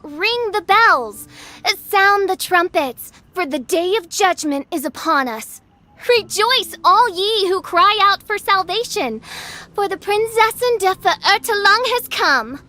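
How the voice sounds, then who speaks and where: A woman's voice: extremely high pitch, animated, slow pace, bright, forceful tone, exaggerated voice. A woman voicing a theatrical young girl who styles herself a princess of judgment and speaks in grand, archaic words in a game cutscene. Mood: grandiose. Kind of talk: video game voice acting.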